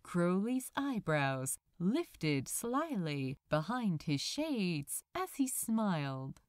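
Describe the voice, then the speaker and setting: Slow, expressive woman narrator. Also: fairly low pitch, no echo, clean studio sound. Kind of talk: expressive scripted fan fiction narration.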